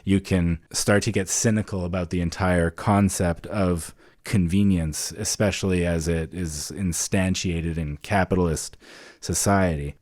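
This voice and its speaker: Low-voiced man co-hosting a podcast. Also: darkish tone, dry recording, clean studio sound. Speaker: podcast co-host. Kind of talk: conversational political commentary.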